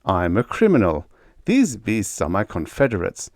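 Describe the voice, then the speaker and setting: A man's voice: fairly low pitch, wildly swooping pitch, moderate pace, darkish tone, dry recording. A man voicing a self-possessed outlaw settler in an audiobook, speaking with dry politeness and an air of command. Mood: wry, polite. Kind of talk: audiobook character dialogue.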